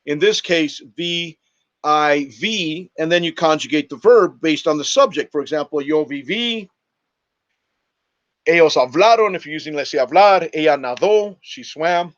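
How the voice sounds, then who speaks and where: A man's voice: a little high, expressive, moderate pace, highs cut off. A man teaching a lesson over a screen share, explaining step by step in an instructive manner and spelling things out. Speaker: Spanish teacher.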